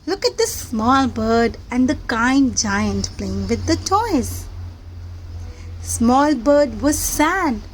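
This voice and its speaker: Very slow, high-pitched woman reading to children. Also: sing-song, a little hiss or hum, poor audio. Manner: simple, deliberate phrasing with questions to young listeners. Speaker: storyteller reading a picture book to children. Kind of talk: children's read-aloud storytelling.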